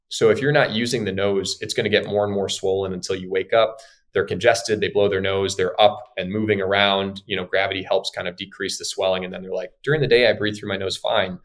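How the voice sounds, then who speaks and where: A man in his thirties with a fairly low voice, speaking as a surgeon guest on a podcast. He talks through patient cases in an explanatory, conversational way. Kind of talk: casual podcast interview.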